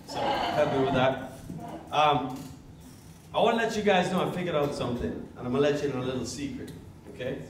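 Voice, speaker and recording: Guy from jamaica doing standup, bit high voice, far from mic, echoey. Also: a little fast, crowd noise. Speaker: stand-up comedian. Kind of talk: stand-up comedy storytelling. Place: live comedy club stage. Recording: echoey, noisy club, distant mic.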